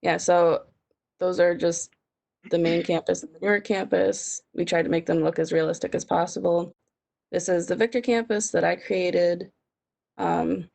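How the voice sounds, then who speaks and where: Young woman with heavy vocal fry. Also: fairly low pitch, a little mellow. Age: young adult. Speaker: student presenter. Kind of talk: slide presentation.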